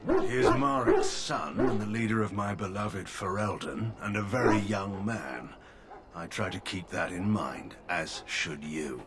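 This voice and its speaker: A proud, stern old general in a fantasy game. The voice sits low but rises and falls widely, formal and curtly dismissive. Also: male voice, sing-song, unhurried, over loud music. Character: stern proud older male army general.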